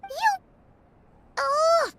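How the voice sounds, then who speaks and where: A woman voicing a tiny, excitable, childlike companion creature with a squeaky voice in a video game. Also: drawn-out words, heavy background noise, full character voice. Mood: excited.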